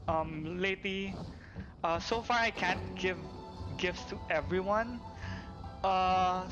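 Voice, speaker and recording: Male game streamer, higher-pitched, excitable and chatty, casual live delivery over clear game music. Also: highs cut off. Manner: excitable, repeats thanks, chuckles often. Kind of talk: casual livestream chat. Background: game music and character voices.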